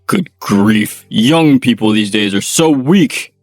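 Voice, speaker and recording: A man doing a gruff, scornful company president. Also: lowish voice, animated, slow, a little mellow, soft background music, voice pushed down. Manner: gruff and contemptuous. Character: bullying, scornful middle-aged male company president. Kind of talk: manga dub voice acting.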